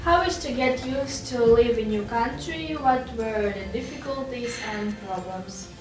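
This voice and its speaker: A woman host with a somewhat high voice. She formally reads out scripted interview questions. The room is echoey, the mic is far off, and faint music plays. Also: unhurried.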